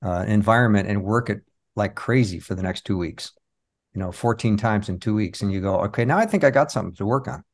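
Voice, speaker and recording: A man's voice: fairly low pitch, fast. A man telling anecdotes casually as an interview guest. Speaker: interview guest, magician and comedy performer.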